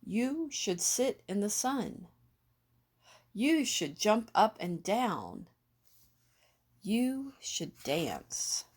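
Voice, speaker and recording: A woman's voice: medium pitch, very animated, extremely slow, pauses often, husky, voice pushed up, full character voice. A woman voicing cheerful, nosy storybook jungle animals who coax and question with well-meant eagerness. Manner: bright, coaxing questions and suggestions.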